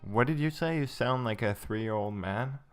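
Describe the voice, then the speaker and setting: A man's voice: mid-range voice, moderate pace, smooth, soft background music. A young man co-hosting a podcast in casual chat, rambling with lots of ums and uhs and frequent laughter. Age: young adult. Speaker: podcast co-host.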